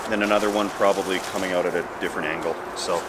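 A man's voice: deep voice, steady tone, rapid-fire. A man in his forties vlogging casually outdoors in a damp forest, chatty and self-deprecating as he thinks aloud. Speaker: camping vlogger. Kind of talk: casual outdoor vlog narration. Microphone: camera mic, handheld close and filmed from a distance. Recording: outdoor recording with clear background noise.

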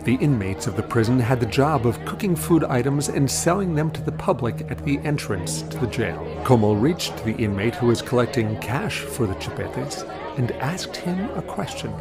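A man's voice: mid-range voice, animated, moderate pace, loud music behind the voice, a loud crowd. A man narrating an audio drama as a scripted story.

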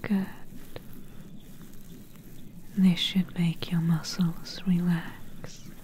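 A woman playing a gentle, soothing swamp witch in a soft-spoken, whispery ASMR roleplay. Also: on the low side, flat delivery. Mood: soothing, tender. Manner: slow, hushed, whispery and soothing.

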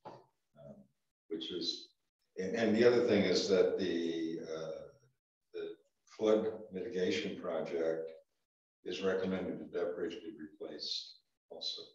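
Low-voiced older man at a town meeting. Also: slow, pauses often, a little mellow. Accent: Vermont. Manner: hesitant, many ums and restarts. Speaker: select board chair. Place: town office meeting room. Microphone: far room camera. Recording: echoey room from far off, dull sound.

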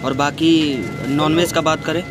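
Young guy doing a train vlog, kinda high, pretty flat, chatty and explaining, loud train noise all around. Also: normal speed, over music. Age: young adult. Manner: chatty, explaining, repeats fillers. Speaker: travel vlogger. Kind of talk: casual travel vlog narration. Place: on a moving train and station platforms. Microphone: phone held at arm's length, wireless earbuds.

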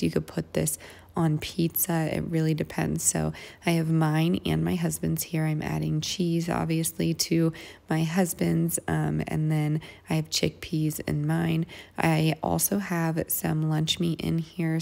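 American woman, casual cooking voice-over, low pitch with heavy vocal fry. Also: a little mellow. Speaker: home cooking vlogger. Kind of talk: casual voice-over narrating meal prep.

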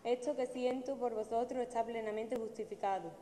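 A young woman reading a scripture passage at Mass in a careful, steady way into a lectern mic. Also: a little high, brisk. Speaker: lay reader. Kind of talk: liturgical reading. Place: large church at the lectern. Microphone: stand mic at the lectern. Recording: long church reverb, faint room noise.

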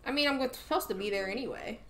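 A woman's voice: on the high side, rapid-fire, fairly bright tone. A woman teammate talking casually over voice chat during a game, chatty and playful.

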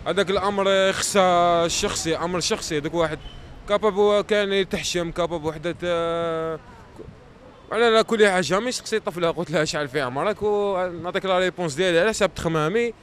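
A man's voice: sits high, fast talker. A young man with an Algerian accent, answering casually in a street interview, breaking off and repeating himself. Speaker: passer-by being interviewed. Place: outdoors on a busy city street. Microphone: handheld microphone. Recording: faint street noise.

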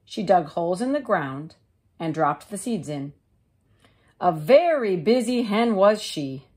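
A woman teacher reading a children's storybook aloud in a measured, expressive way. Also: on the low side, husky. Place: living room couch, indoors.

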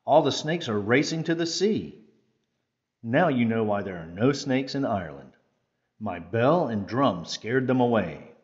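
Storybook boy saint acted by a man: young, proud, declaiming, unhurried. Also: medium pitch, very animated, dull audio. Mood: proud, bold. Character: proud young boy playing Saint Patrick, booming drummer.

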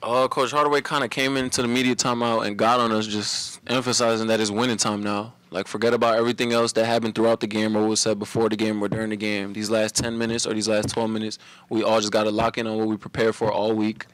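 A young man, a college basketball player, answering reporters after a game in a relaxed, run-on way at a table mic. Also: lowish voice, fast talker, hardly any pauses, dry recording. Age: young adult. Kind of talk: post-game press conference. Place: press room at a table before a logo backdrop.

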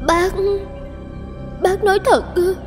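A woman playing a gentle, humble young woman in love in a cartoon drama, hesitant and deferential. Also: very high-pitched, steady tone, slow. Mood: anxious, pleading. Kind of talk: dubbed cartoon drama acting. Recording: long reverb, music behind.

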